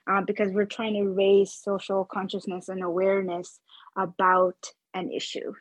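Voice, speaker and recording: Husky-voiced woman from Nepal. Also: medium pitch, moderate pace, dry recording, low-quality recording. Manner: thoughtful, frequent fillers, animated when excited. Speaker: muralist guest. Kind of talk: interview conversation.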